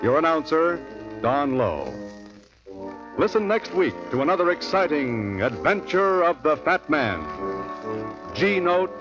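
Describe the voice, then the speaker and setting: An upbeat male radio announcer, emphatic as he reads sponsor messages and show credits. His pitch sits slightly high and moves in lively swings. The sound is muffled old broadcast audio with faint music behind him. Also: a little slow, long pauses.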